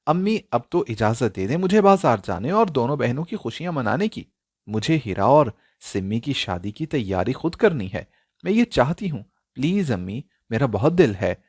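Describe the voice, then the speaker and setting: A man's voice: medium pitch, sing-song, moderate pace, breathy edge, no echo, clean studio sound. A man voicing a sheltered young daughter in an audio story, pleading and insistent with emotion.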